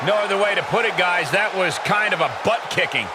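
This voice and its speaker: A man's voice: high-pitched, brisk. A man delivering dramatic play-by-play wrestling commentary with arena noise behind him.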